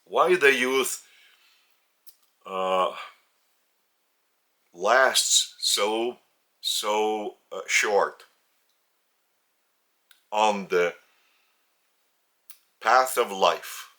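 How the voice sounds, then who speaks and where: A man with a Lithuanian accent delivers a spiritual teaching at home, speaking in English rather than his native Lithuanian. His voice sits a little low yet has a bright, forceful edge. He moves very slowly and deliberately, leaving long pauses between phrases. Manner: slow, deliberate and earnest, with many pauses. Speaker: religious teacher. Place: indoors at home.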